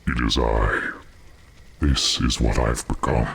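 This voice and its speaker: A man playing a grim, proud elf turned demon in a game cinematic, with a very deep gravelly growl. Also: flat delivery, slow, a bit bright, heavily acted. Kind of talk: video game cinematic acting.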